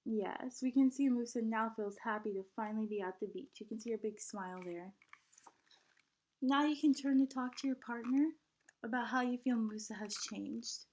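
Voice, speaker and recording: A woman reading a children's picture book aloud in an animated teacherly way, guiding young listeners with questions and prompts. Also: fairly high pitch, moderate pace, poor audio.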